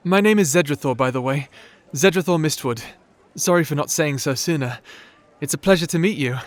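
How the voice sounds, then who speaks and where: A man's voice: a little high, a little fast, natural voice. A man playing a shy, nerdy young wizard in a fantasy audio roleplay, awkward, apologetic and polite, stammering through his words. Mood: awkward, grateful.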